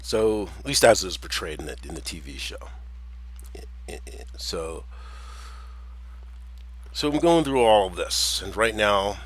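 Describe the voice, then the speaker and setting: A man's voice: on the low side, animated, unhurried, pauses often, a bit bright. A man with an American accent carrying a slight Jamaican tinge, talking in a rambling personal monologue full of restarts and chuckles. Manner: meandering, with many restarts and chuckles.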